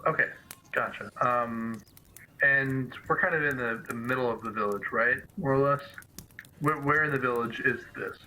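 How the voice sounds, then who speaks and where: A man's voice: medium pitch, normal speed, pushed and bright, low-quality recording. A man playing a tabletop roleplaying game, talking casually and hesitantly, often repeating himself. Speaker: player. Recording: faint background noise.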